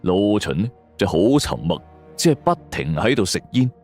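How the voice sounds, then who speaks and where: A man's voice: fairly low pitch, very animated, moderate pace, darkish tone. A man with a Hong Kong Cantonese accent narrating a detective story dramatically in the first person. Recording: background music under voice. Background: music.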